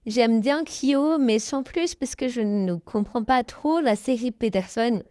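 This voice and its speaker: A woman's voice: a little high, unhurried, a little mellow, dry recording, studio quality. A young woman answering her viewers' questions in a casual, hesitant chat, full of fillers and small laughs. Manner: hesitant, many fillers, giggly. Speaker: YouTuber answering fan questions.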